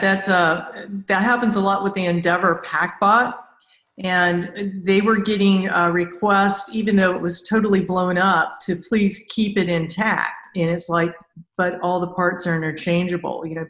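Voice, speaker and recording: A woman's voice: on the low side, steady tone, moderate pace, fairly bright tone. A woman hosting a casual podcast interview, talking informally and rephrasing loosely as she goes. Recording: roomy, echoing sound.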